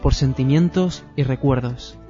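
Un locutor de radio con voz de tono medio y dicción pausada conduce la entrevista. El sonido es algo apagado, con una música suave de fondo. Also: male voice, medium pitch, dark tone. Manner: measured, poetic introduction, polished announcer delivery. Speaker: radio host. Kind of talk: radio interview. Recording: dull radio sound with music behind.

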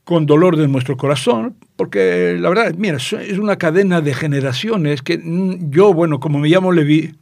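An older man from Tangier with a northern Moroccan Judeo-Spanish accent, chatting as an interview guest in a playful, digressive storytelling way and pausing to explain words. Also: a little high, sing-song, a little mellow, dry recording, clean studio sound. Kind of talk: conversational storytelling.

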